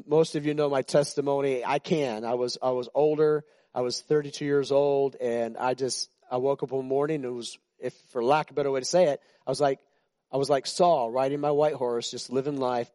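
60s pastor guy chatting thru a sermon, kinda high voice, cracks jokes, dry close sound. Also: dark tone, no room sound. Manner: chatty and joking, with frequent restarts. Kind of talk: informal sermon to a congregation. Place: church.

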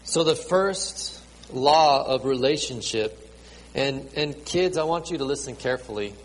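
A man in his late thirties delivers a sermon at an unhurried, deliberate pace, often stumbling over and repeating his words. His mid-pitched voice rings out in a hall with a long reverb tail, and a faint ambient hiss sits underneath. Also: expressive. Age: 30s. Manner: halting, repeats words and fills with ums. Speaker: pastor. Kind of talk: sermon to a congregation with children.

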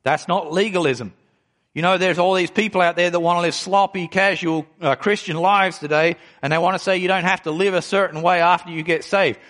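Man in his 40s preaching, fairly high voice, leans hard on key words and reads out bible verses. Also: fairly even intonation, fairly bright tone. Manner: emphatic preaching, slows down to stress key phrases; reads scripture aloud and explains it. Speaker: pastor. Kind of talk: sermon.

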